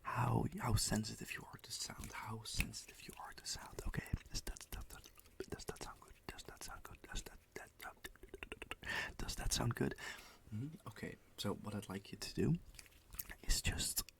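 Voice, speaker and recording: Low gravelly guy doing asmr whispers, soft and reassuring, repeats stuff slowly. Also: steady tone. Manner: repeats words and phrases slowly. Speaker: ASMR roleplay performer. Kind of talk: ASMR roleplay, music teacher.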